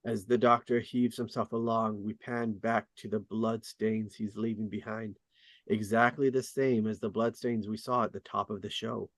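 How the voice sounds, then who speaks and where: A man reading screenplay stage directions as scripted narration, with deliberate dramatic emphasis. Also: lowish voice, flat delivery, no room sound. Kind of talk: scripted narration at a table read.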